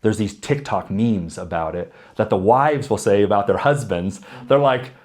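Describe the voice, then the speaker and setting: Deep, raspy-voiced man in his thirties. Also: lively intonation, normal speed, darkish tone. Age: 30s. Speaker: interviewee. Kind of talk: reflective conversational interview answers with anecdotes.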